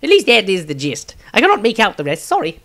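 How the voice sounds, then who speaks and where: Fantasy storybook wizard, flustered and chatty, very high and loud, acted out by a male reader. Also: wildly swooping pitch, quick pace, heavily acted. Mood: breezy, apologetic. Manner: chatty, apologetic. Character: flustered, cake-obsessed, cheerful male wizard.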